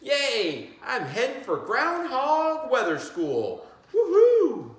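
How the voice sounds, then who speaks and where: A man's voice: extremely high pitch, wildly swooping pitch, slow, voice pushed up, heavily acted. A man voicing eager, exclamatory young groundhog pupils from a picture book. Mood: thrilled. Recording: very echoey, roomy sound.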